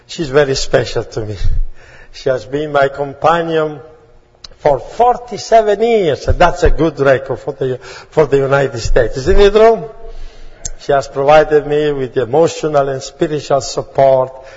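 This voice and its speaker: A man's voice: medium pitch, lively intonation, a little slow, darkish tone. A man from Italy with an Italian accent, greeting a congregation as a guest preacher, with playful asides. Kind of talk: sermon greeting. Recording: dull sound with long hall reverb.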